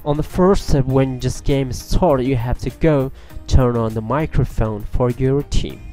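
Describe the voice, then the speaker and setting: A man hosting a casual software tutorial as a voice-over on a USB microphone. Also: mid-range voice, a little slow, soft background music. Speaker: tutorial video host.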